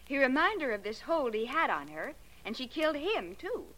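An actress playing an eager, adoring American secretary to a detective, perky and deferential in 1940s radio drama style. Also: female voice, sits high, sing-song, moderate pace, a bit bright, exaggerated voice, poor audio. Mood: reading notes.

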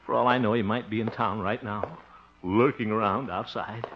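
An actor playing a folksy, good-natured, nervous bachelor in a 1950s radio comedy, hesitant and given to chuckling. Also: male voice, on the low side, steady tone, moderate pace, a little hiss or hum, natural voice. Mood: jovial, uneasy storytelling. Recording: dull, muffled 1950s radio recording.

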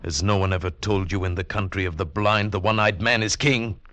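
A man's voice: deep voice, steady tone, brisk, a bit bright. An actor playing a desperate, hot-tempered trapped man, in a theatrical mid-century radio drama style. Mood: defiant, angry. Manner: intense, theatrical. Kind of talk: 1940s-50s radio drama acting and narration. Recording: old radio broadcast with music underneath.

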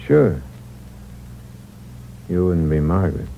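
A muffled, humming old radio recording carries a very low-voiced American killer. He is cynical and slangy, terse in his hardboiled storytelling, and his pitch swings widely in a 1940s thriller style. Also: sing-song, takes it slowly, vocal fry, slight background noise, heavily acted. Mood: wary, smooth. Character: cold-blooded young male killer posing as a nephew, cynical, slangy. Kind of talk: 1940s radio thriller acting, first-person hardboiled narration.

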